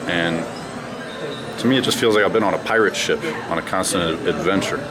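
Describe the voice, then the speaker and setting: A man's voice: low-pitched, a little fast. A man in his thirties who grew up in China, a former basketball player telling stories from his career in an interview. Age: 30s. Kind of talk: interview answers, storytelling. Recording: loud music underneath.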